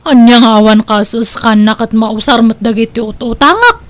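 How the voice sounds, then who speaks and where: A woman's voice: a little high, fairly quick, no echo, close to an everyday voice. A woman playing an evasive, free-spending wife who stalls when questioned, with an Ilocano accent, in a radio-style comedy drama. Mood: defensive. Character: evasive married woman who spends on credit, hides her debts.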